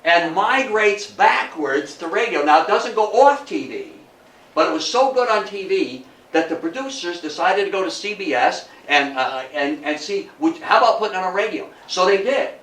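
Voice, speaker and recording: A man's voice: high voice, lively intonation, moderate pace, a bit bright. An older man giving an informal public talk in a folksy, emphatic way, often repeating himself. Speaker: guest speaker, old-time radio hobbyist. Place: room at a local society talk. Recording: distant echoey room, faint noise.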